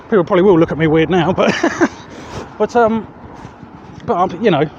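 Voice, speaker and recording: Male walking vlogger, southeast English, high-pitched, rambling and hesitant, handheld mic beside noisy roads. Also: moderate pace. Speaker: vlog host. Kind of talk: casual chat to camera while walking. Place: outdoors walking along roads. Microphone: handheld external microphone. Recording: muffled outdoor street audio, loud traffic noise.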